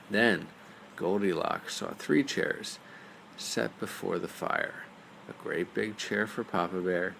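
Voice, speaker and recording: A man reads a bedtime story as narrator. His voice is low with plenty of fry, and there is faint noise behind it. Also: a bit bright, poor audio. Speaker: storyteller, father reading aloud.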